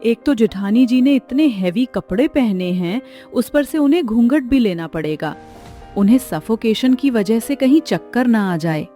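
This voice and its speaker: Woman as a modern considerate young devrani, high soft-toned mellow voice, story melodrama. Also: animated, normal speed, faint music behind, close to an everyday voice. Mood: concerned. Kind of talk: melodramatic Hindi moral story acting.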